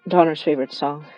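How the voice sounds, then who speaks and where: A woman playing a weary, affectionate young monster hunter confiding haltingly over a phone call in an audio drama. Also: low voice, dark tone, full character voice. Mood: fond, teasing. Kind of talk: intimate audio drama monologue.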